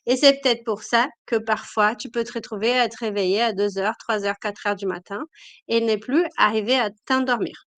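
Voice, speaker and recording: A woman's voice: fairly high pitch, steady tone, moderate pace, a bit bright, no room sound. A woman with a cold, hosting a live-stream talk as a wellness coach, speaking hesitantly with many fillers. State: has a cold.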